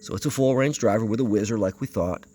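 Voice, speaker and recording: A man with a low voice talks his way through a close inspection on camera. He rambles and hesitates, often muttering to himself. There is faint noise behind him. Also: fast talker, dark tone. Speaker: vintage audio enthusiast presenting a video. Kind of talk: unscripted hands-on inspection commentary.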